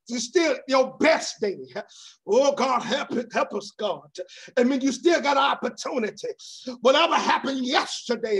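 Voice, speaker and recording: A fervent male preacher with a very high voice and a husky, breathy edge. He keeps crying out to God between lines. He swings from ringing shouts to hushed, sinking phrases. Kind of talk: impassioned sermon.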